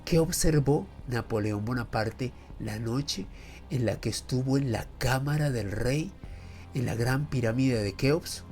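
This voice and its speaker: A man's voice: mid-range voice, measured pace, a little mellow, soft background music. A man hosting a history and mystery show, narrating in a formal, emphatic, rhetorical storytelling manner. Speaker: host of a history and mystery channel. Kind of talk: semi-scripted documentary narration.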